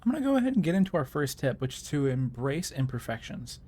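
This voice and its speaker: A man's voice: a little high, very animated, fast, dark tone. A man hosting a video, narrating scripted tips in a tutorial style. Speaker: video host giving tips.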